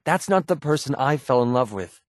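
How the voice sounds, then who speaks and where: A man's voice: mid-range voice, animated, moderate pace, no room sound, studio quality, natural voice. A man voicing a wary young mercenary companion in a video game, sardonic, streetwise and blunt. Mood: earnest. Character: wary young male mercenary companion in a post-apocalyptic wasteland, sardonic, guarded.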